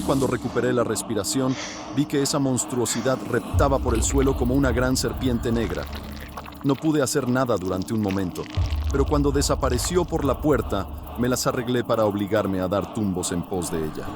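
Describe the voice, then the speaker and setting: A man voicing an anguished, horrified man in a horror audiobook, confessing a killing in a hoarse, intense, dramatic way. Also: mid-range voice, moderate pace, background noise, natural voice. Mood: horrified, desperate.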